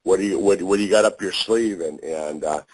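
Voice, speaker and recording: Man, very low voice, hoarse, folksy, halting. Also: a little mellow, poor audio. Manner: folksy, halting, many fillers. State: hoarse after a long drive. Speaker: chiropractor and call host.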